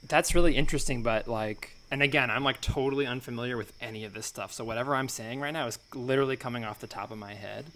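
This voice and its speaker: A man improvising a naive, falsely modest amateur thinker in a comedy podcast, musing in a halting, hedging way. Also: mid-range voice, pushed and bright. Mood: tentative, musing.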